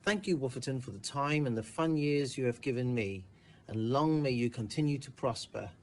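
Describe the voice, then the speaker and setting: Guy reading out a congrats message, normal medium pitch. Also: moderate pace, a little mellow.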